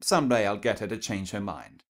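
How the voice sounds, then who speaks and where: A man's voice: fairly low pitch, animated, brisk. A man voicing an elegant, refined female unicorn in a storybook reading, with a genteel, affected manner. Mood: airy, chatty. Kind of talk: storybook character voice in fan fiction reading.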